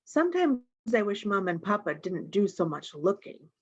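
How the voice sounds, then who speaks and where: A woman reading a picture book aloud for young children, adding gentle teacherly asides and little questions to her listeners. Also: mid-range voice, sing-song, moderate pace, slightly rough, lo-fi sound. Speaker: storytime reader and host.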